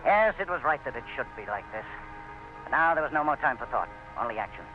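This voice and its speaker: An actor playing a resolute, proud planter in an old-time radio drama, narrating his own ordeal with intense, halting delivery. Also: medium pitch, lively intonation, music in the background, natural voice. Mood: grimly resolute. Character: resolute, proud male planter battling swarming ants in agony. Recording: noisy, muffled vintage radio recording.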